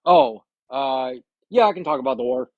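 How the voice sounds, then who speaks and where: A man from Indiana calls into a live stream and answers with halting hesitation. His voice is gravelly with a lot of vocal fry, and the sound is very dry and close. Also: medium pitch, wildly swooping pitch, darkish tone, dry recording, muffled recording. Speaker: caller. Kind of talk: casual call-in chat.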